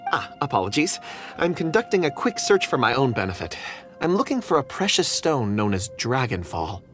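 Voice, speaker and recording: An actor playing a polite, eager ore expert in a video game. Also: male voice, mid-range voice, sing-song, moderate pace, music in the background, lightly acted. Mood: apologetic, eager.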